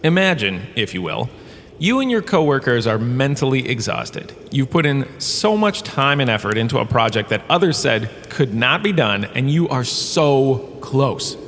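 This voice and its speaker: An actor playing a pompous, washed-up star turned flippant professor, lecturing in a theatrical, breezily dismissive way for a comic audio drama. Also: male voice, medium pitch, sing-song, moderate pace, a bit bright. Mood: dramatic, storytelling. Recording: long cave reverb, background ambience noise.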